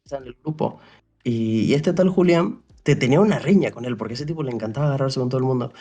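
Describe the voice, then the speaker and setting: A young man from Paraguay with a gravelly voice. His pitch is ordinary for a man. He talks loosely and rambles, circles back with "o sea", and acts out what people said to him. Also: quick pace, warm tone. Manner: rambling, full of restarts and "o sea", re-enacts dialogue. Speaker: interview guest. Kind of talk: casual storytelling chat.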